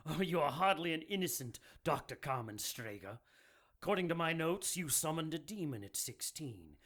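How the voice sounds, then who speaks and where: A slightly high male voice of a zealous Vatican cleric, speaking calmly with a preachy, condescending edge. He sounds controlled and self-assured. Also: normal speed. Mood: cold.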